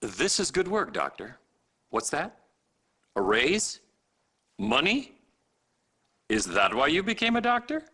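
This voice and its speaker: Pompous, swooping-voiced hospital chief. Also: male voice, on the high side, wildly swooping pitch, slow, pauses often, pushed and bright, husky, full character voice. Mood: mock jovial. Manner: pompous, self-satisfied. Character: smug, vain, lazy hospital chief of staff. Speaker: actor in game cutscenes. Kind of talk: live-action video game cutscene acting.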